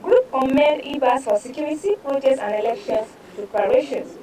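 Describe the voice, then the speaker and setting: A Nigerian woman presents a newspaper review in a high, softly mellow voice. Her delivery is formal and a little halting as she reads aloud. She sounds set back from the microphone. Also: animated, recorded from a distance, low-quality recording. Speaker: news presenter. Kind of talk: reading newspaper headlines and reports aloud for a press review.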